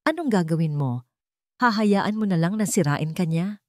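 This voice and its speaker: A woman's voice: fairly low pitch, lively intonation, moderate pace, no room sound, natural voice. Woman reader doing a pushy worried father in a drama story, pleading, dry sound. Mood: agitated. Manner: pressing, pleading. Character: controlling, agitated father of a grown daughter.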